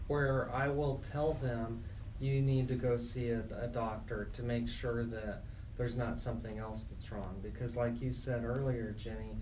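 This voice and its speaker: A man co-hosting a radio show as an attorney, explaining things in a measured, plain way. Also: mid-range voice, little pitch movement, darkish tone, far from the mic, a little hiss or hum, rough recording. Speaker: attorney co-host.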